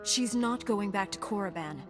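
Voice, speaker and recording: An actress playing a calm, principled Jedi warrior in a video game, measured and serious. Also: female voice, mid-range voice, long reverb tail, over music, lightly acted. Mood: resolute. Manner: measured, serious, gently admonishing.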